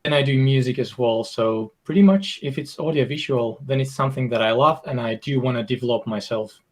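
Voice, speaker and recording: A young man with a Finnish accent, a game designer answering interview questions modestly, a bit nervous and halting. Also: mid-range voice. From Finland.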